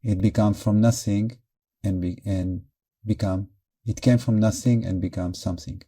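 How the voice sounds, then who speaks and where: Very mellow, soft-edged male meditation guide. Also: lowish voice. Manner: calm, measured, short phrases with pauses. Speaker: meditation teacher.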